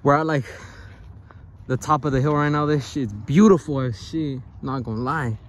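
A young man vlogging a hike with loud, slangy, joking talk, swearing and dropping Spanish phrases, filming on a phone held at arm's length outdoors. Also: mid-range voice, normal speed. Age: young adult. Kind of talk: casual hiking vlog. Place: outdoors on a hillside trail and in a car. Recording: phone audio with clear background noise.

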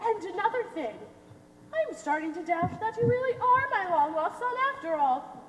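Young woman acting a shrill-high melodramatic mother. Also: expressive, moderate pace, a bit bright. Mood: indignant, scornful. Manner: grandly theatrical. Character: melodramatic, self-important, domineering mother, a pie maker. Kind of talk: high school stage play acting. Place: theater stage, filmed from the audience. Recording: echoey theater stage, faint noise.

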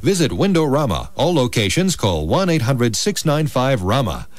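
A man's voice: medium pitch, big pitch swings, moderate pace. A man reading scripted radio commercial narration as an announcer.

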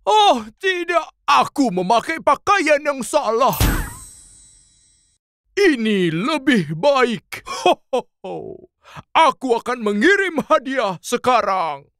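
A woman voicing a jolly cartoon nutcracker and Santa with a booming ho-ho laugh. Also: big pitch swings, slow, fairly bright tone, dry recording, clean studio sound, exaggerated voice. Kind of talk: cartoon voice acting.

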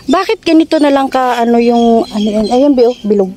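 A woman's voice: fairly high pitch, brisk. A woman in her thirties vlogging casually on a farm, chatty and laughing easily as she picks and tastes fruit. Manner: chatty, laughs easily, excited when pointing things out. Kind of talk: casual chat while picking fruit. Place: outdoor farm orchard. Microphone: handheld phone camera.

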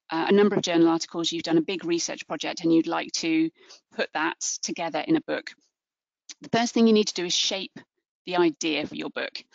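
A woman's voice: lowish voice, creaky, no echo. An Englishwoman, middle-aged, giving an earnest, encouraging webinar talk as a book publisher over a video call, with frequent ums and restarts. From England. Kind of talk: webinar presentation. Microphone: video call webcam. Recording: dull lo-fi webcam audio.

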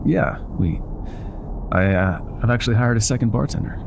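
A man playing an older ex-mafia boyfriend in an intimate ASMR roleplay, speaking softly and affectionately with some hesitation. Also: medium pitch, animated, normal speed, creaky, background noise. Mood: teasing, warm. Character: older ex-mafia boyfriend, tender, teasing, vulnerable bar owner.

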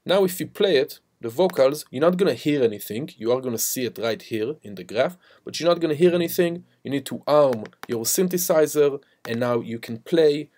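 Informal man giving a software tutorial. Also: mid-range voice, animated, fairly quick, few pauses. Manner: informal, instructional. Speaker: music production instructor. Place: voice-over to a screen recording.

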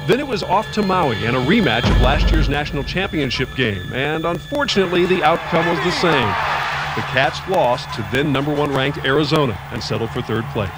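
A man delivering a steady, scripted news voice-over of a basketball season's highlights. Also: fairly quick. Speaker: news sports reporter. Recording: voice-over under loud music.

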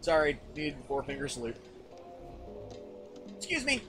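A young American man livestreaming a game, chatting in an expressive, sweary, teasing way. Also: a little high, unhurried, over music. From California, USA. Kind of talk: casual banter while streaming a game. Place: indoor room, seated in armchair.

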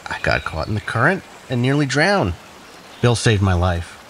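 A man's voice: medium pitch, very animated, normal speed, fairly bright tone, a little hiss or hum. A man playing an arrogant, sardonic and defensive man with a flippant manner in an audio drama.

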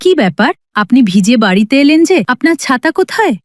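A woman's voice: high-pitched, very animated, fast talker, no echo, clean studio sound. A woman playing a patient, devout wife and mother in a cartoon, speaking earnestly and reassuringly. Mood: concerned. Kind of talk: cartoon voice acting.

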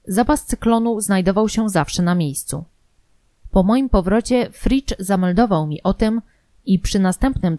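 A woman's voice: mid-range voice, warm tone, no room sound. A woman narrating a scripted historical true-crime audio programme.

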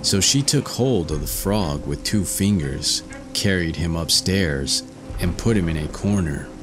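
A man narrating a scripted fairy tale as a storyteller, in a measured, formal manner. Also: deep voice, animated, music in the background.

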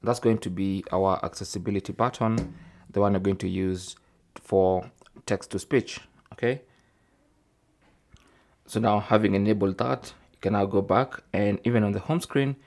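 A man presenting a tech tutorial, narrating step by step in a methodical, informal way. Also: fairly low pitch. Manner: methodical, informal, often tags phrases with "okay".

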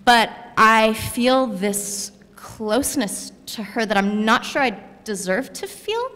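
A woman's voice: on the high side, animated, slow pace, bright, forceful tone. A young woman speaking on a university panel at a stand mic, chatty and full of asides. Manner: chatty, frequent "you know" and "right?". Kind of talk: panel discussion. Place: lecture hall with audience. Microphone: stand mic at a panel table. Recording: long reverb of a hall.

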